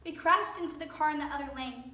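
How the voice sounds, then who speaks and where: A woman's voice: sits high, fast talker, pushed and bright. A young woman playing a traumatized, guilt-laden teenage girl in a staged monologue. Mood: haunted. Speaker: student performer in readers theater. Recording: distant echoey stage recording, faint noise.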